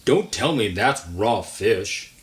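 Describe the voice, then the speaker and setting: A man's voice: fairly low pitch, very animated, slow, voice pushed down. A man voicing scornful, squeamish schoolchildren from a storybook, with disgusted, teasing delivery. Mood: horrified.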